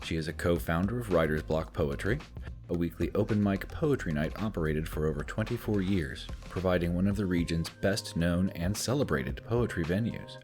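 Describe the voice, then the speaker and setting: A man with a very low voice reads a written introduction at a slow, measured pace. Music plays clearly underneath him throughout. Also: darkish tone. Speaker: podcast host. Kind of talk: scripted introduction read. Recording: clear music bed behind.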